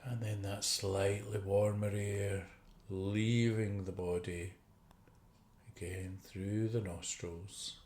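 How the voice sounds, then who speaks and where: A man with a fairly low voice leads a guided meditation. He speaks very slowly and gently, leaving long pauses between phrases. The recording is roomy and echoey, like a video call made indoors. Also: flat delivery, slight background noise. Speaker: meditation teacher. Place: indoor room.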